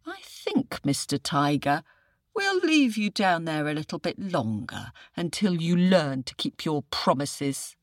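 A woman's voice: sits low, very animated, a little slow, no room sound, studio quality. A woman voicing a wily male jackal who drawls and acts puzzled. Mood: sly, puzzled. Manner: drawling and yawning, feigning puzzlement.

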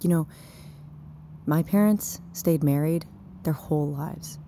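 Wry, low-voiced frank woman. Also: takes it slowly, mellow, a little hiss or hum. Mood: gentle, frank. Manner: wry, teasing. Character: blunt, wry, caring adult woman, family confidante. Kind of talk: naturalistic audio drama acting.

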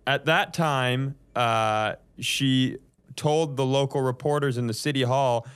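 Guy on a comedy podcast reading out a weird story, swears some, chuckly, average pitch. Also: slow, fairly bright tone, clean tone. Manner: chuckles through his telling, profane. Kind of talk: casual comedic storytelling from notes.

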